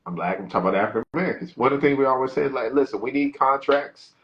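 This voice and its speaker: A man's voice: mid-range voice, very fast, lo-fi sound. A man co-hosting a podcast, speaking in an emphatic, conversational way. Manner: conversational, emphatic, backchannels often. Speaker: podcast co-host. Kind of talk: casual podcast discussion.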